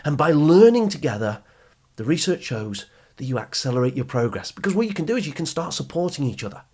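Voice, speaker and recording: Higher-pitched husky man, encouraging podcast coach. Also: big pitch swings, fast, a little mellow. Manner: encouraging, instructive, addresses listener directly. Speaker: podcast host and coach for teenagers. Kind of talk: motivational educational podcast monologue.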